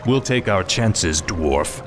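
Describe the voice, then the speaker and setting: Cold cocky death knight, low voice, sarcastic, music behind. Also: male voice, expressive, slow pace. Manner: impatient, sardonic. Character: arrogant male death knight, ruthless.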